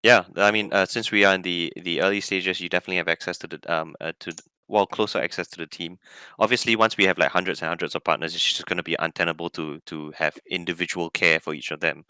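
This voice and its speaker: A man who is a guest from a crypto team, talking fast and emphatically in a casual online chat. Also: low voice, steady tone, pushed and bright, smooth, no echo.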